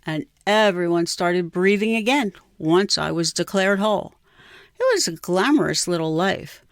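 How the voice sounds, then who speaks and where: A woman's voice: lowish voice, clean tone, dry recording, studio quality. A woman in her sixties with an American accent, reading her own memoir as scripted narration. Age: 60s.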